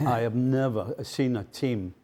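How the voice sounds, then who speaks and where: Emphatic mellow-voiced football pundit. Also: male voice, medium pitch, slow pace. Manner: emphatic, piles up words for effect. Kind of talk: studio panel analysis.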